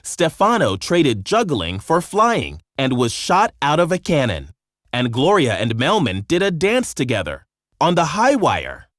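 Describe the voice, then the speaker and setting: A man narrating a scripted children's storybook in an expressive, measured storytelling manner. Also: on the high side, no echo, clean studio sound.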